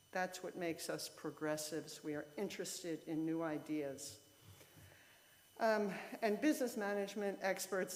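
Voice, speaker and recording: A woman's voice: fairly low pitch, darkish tone. A woman giving an informal lay sermon in a conversational, explanatory way, with short emphatic statements. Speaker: lay speaker at a church service. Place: church sanctuary, speaking to a congregation. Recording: reverberant hall with long echo.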